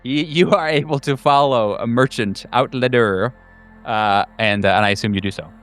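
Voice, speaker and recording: A man working as game master, improvising narration for a horror tabletop game in a halting, informal way. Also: medium pitch, a bit bright. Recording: music behind the voice. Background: background music.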